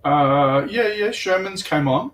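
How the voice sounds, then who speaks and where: A man's voice: sits high, animated, takes it slowly, fairly bright tone. A man in casual conversation as a guest player on a hobby livestream. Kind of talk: casual chat.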